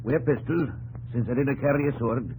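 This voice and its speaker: An actor playing a proud, hot-tempered gentleman in 1950s radio drama, declamatory and old-fashioned in his phrasing. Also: medium pitch, normal speed, lightly acted. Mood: affronted. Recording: noisy, humming old radio broadcast.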